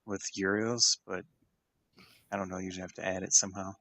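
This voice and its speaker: Low gravelly guy chatting during a tabletop game, laid-back. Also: level tone, moderate pace, pushed and bright. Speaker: player. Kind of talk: casual tabletop game chat.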